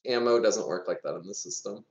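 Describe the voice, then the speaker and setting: Roomy, muffled low-voiced man. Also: a little fast. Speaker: player in a tabletop game. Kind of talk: casual gaming chat. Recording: dull, roomy microphone.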